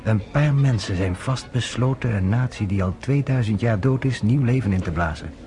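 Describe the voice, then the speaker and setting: An actor playing a calm, worldly journalist who talks persuasively with a wry edge, in a radio play. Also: male voice, fairly low pitch, moderate pace, music in the background, lightly acted.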